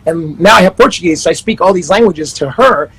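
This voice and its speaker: Upbeat guy in his 30s, kinda husky, high voice, on a video call. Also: animated, brisk, a bit bright, rough recording. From Panama and the Netherlands. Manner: upbeat, self-promoting, many restarts. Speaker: life coach guest. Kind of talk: casual interview chat.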